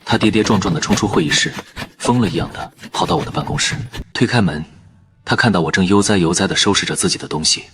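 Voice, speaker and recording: A man narrating in the first person as a calm surgeon, in measured scripted narration. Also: low voice, breathy edge, full character voice. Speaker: narrator and lead character, a surgeon.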